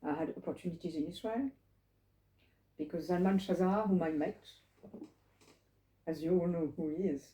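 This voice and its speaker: Elderly woman with a mellow voice. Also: on the low side, normal speed, pauses often. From France. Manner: reflective, digressive, asks listeners questions. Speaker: holocaust survivor telling her story. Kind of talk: personal storytelling to a small group. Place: living room. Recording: roomy living room.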